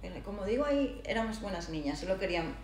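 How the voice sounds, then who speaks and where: A woman's voice: medium pitch, lively intonation, fast, a little mellow, reverberant. A middle-aged woman from Galicia in Spain, talking reflectively about herself in a thoughtful, hesitant interview conversation, searching for her words.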